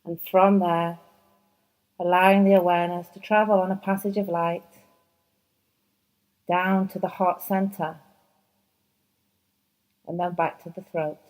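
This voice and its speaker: A woman teaching yoga nidra, guiding a relaxation meditation in a soft, soothing voice, slow and unhurried with long pauses. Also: on the low side, flat delivery, low-quality recording. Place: yoga training course.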